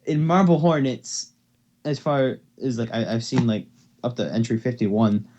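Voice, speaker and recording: Through a dull lo-fi microphone, a man hosts a fan fiction reading. His voice sits at a middling pitch. He reads in an informal amateur storytelling style and trips over the odd word. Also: unhurried, a little mellow. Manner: informal, stumbles over words.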